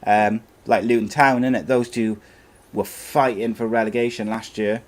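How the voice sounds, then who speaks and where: Low-voiced matey man podcast host. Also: little pitch movement, moderate pace. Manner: matey, reads out listener questions, calls guest "mate". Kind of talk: casual live podcast chat.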